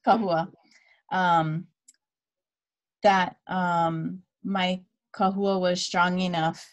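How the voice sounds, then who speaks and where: A woman in her thirties from Hawaii, telling her story as a guest speaker on a video call. She talks in a relaxed way with many fillers and drops Hawaiian words into her English. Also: on the low side, drawn-out words. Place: dim room at home. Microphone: laptop webcam video call.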